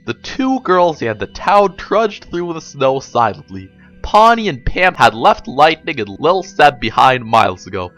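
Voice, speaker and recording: A young man reads fiction aloud as a performance. His voice is bright and sits a little high, with animated pitch movement. Faint music plays behind him. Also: a little slow, few pauses. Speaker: reader. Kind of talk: dramatic reading of comic fan fiction.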